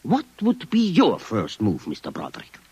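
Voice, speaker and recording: Eccentric formal client: husky, lively, precise old-style radio diction. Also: male voice, lowish voice, normal speed, dry recording, heavily acted. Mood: scheming. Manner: precise, courtly, stately diction. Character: formal, eccentric middle-aged gentleman client. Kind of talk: 1950s radio drama acting. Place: radio studio.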